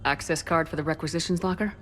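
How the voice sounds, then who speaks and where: Low-voiced, resolute female space commander. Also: fairly even intonation, a bit bright, soft background music. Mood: focused, businesslike. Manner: brisk, businesslike, commanding. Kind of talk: video game dialogue.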